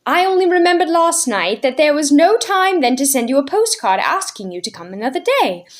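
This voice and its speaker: A woman's voice: high-pitched, sing-song, a little fast, pitched above the performer's own voice. A woman voicing a cheerful, round, bald uncle who bubbles with laughter. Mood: cheery.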